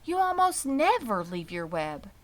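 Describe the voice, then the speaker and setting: A woman's voice: fairly high pitch, sing-song, unhurried, voice pushed up. A woman voicing an innocent young male pig in a storybook, anxious and childlike, asking in a pleading way. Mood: worried.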